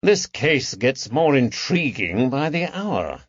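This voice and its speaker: A man voicing a calm, perceptive Victorian detective with a gentle, measured way of talking, in a dull, dry recording. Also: medium pitch, no echo. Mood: thoughtful.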